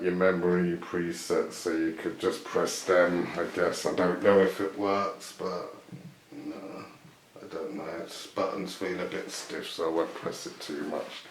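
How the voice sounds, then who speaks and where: Low, monotone man in an echoey room. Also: a little hiss or hum. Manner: hesitant, tentative, often guessing aloud. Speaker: video host showing vintage items. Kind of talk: casual unscripted show-and-tell.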